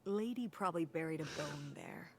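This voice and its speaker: A woman's voice: low-pitched, expressive, normal speed. A woman playing a practical, dryly sceptical middle-aged mother in a naturalistic video game performance.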